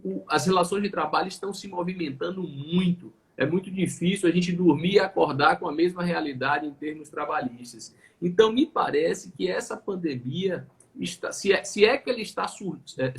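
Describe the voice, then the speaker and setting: A man from Salvador, a labor judge talking in a live online chat in a reflective, conversational way, sometimes restarting his sentences. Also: fairly high pitch. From Salvador, Bahia, Brazil.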